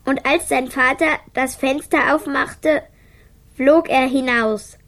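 A sad young girl in a radio drama, guarded and private. The voice is high and unhurried. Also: steady tone, fairly bright tone, full character voice. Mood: hushed reading. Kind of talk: radio play acting.

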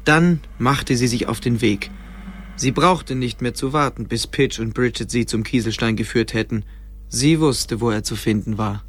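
An actor narrating a fantasy adventure as the storyteller of a scripted radio drama. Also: male voice, medium pitch, normal speed, close to an everyday voice. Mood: atmospheric.

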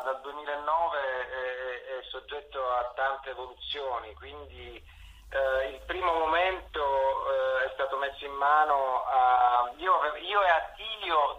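A man with a bright, forceful voice talks slowly as an interview guest. He halts and searches for words, in a roomy, slightly dull recording. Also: a little high, a little hiss or hum. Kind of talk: spoken interview, explaining his work.

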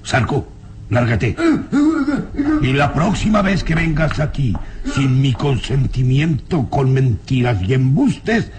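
A man's voice: medium pitch, expressive, slow, darkish tone. An actor playing a haughty, hot-tempered baron and stern father in a radio drama, speaking in an imperious, commanding way. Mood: stern, scornful. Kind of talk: old radio drama acting. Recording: noisy old radio serial recording.

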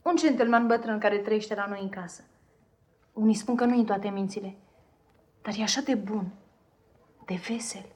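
A woman's voice: mid-range voice, long pauses, a little mellow, natural voice. A woman playing a blunt but kindly housemaid in a 1950s radio drama, brusque and chatty.

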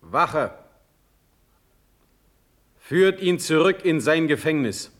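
A stern, magnanimous sovereign in a classical radio drama. He declaims verse very deliberately, with solemn authority, in a fairly high male register. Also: animated, a bit bright, slight background noise. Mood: stern, challenging. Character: stern, magnanimous ruling prince, measured and commanding.

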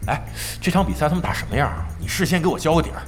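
An actor in a radio drama playing a wry, teasing veteran esports team member with a theatrical, exclamatory manner. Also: male voice, a little high, animated, rapid-fire, faint music behind, lightly acted. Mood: wry analysis. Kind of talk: Chinese radio drama acting.

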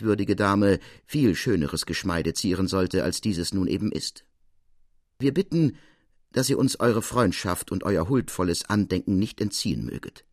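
Man voicing ceremonious, mocking gentleman thieves. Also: on the low side, no room sound, clean studio sound. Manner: ornate, ceremonious, deliberate emphasis. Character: mocking band of thieves' letter, ornately polite.

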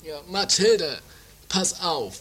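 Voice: medium pitch, sing-song, slow, fairly bright tone, slightly rough, heavily acted. An actor playing a meek, long-suffering companion with a cheeky streak in an amateur comedy radio play, coaxing and apologetic.

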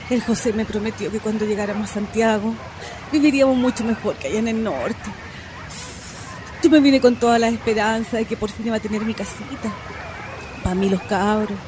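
An actress performs a poor Chilean migrant mother in a radio drama. Her somewhat high voice is weary and complaining, with colloquial turns of phrase. Noise is clearly heard behind her. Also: normal speed, long pauses, lightly acted. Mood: weary, lamenting. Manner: lamenting, colloquial. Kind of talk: Spanish-language radio drama acting. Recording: noisy track with outdoor ambience, barking dogs.

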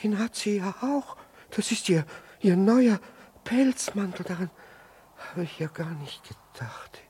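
An actor in a 1960s German radio play voicing a flustered, jealous middle-aged husband who stammers and keeps correcting himself. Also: male voice, sits high, animated, measured pace, a little mellow, a little hiss or hum. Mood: bewildered. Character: flustered jealous husband, pretending it concerns his friend.

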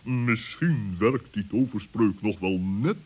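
A man voicing a solemn animal companion of a gnome in a children's radio play, formal and deliberate. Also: lowish voice, expressive, a little mellow, slightly rough, exaggerated voice.

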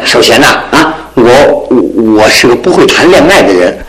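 A man acting in a radio drama as a bumbling, good-hearted bachelor in his thirties, stammering and rambling excitably. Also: mid-range voice, normal speed, roomy sound, low-quality recording. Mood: sheepish. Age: 30s.

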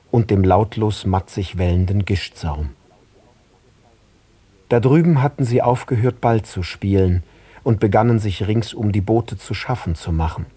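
A man narrating literary prose for a radio drama in a husky voice. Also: on the low side, dark tone, no room sound.

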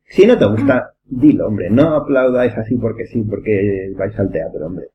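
A man's voice: lowish voice, lively intonation, normal speed, lo-fi sound, a little hiss or hum. A man hosting a casual group podcast discussion, opinionated and rambling. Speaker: podcast host.

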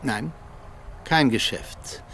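An old statesman in a radio play, stiff and commanding. His mid-pitched voice is clipped and threatening, over faint outdoor ambience. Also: extremely slow, fairly bright tone, a little hiss or hum. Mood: imperious, threatening. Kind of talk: radio drama acting.

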